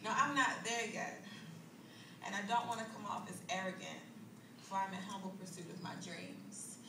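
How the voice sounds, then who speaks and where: A woman performing a spoken word poem live on a club stage, rhythmic and building from hushed lines to loud declamation. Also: mid-range voice, fairly even intonation, normal speed, background noise. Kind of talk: slam poetry performance. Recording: echoey club recorded from far off.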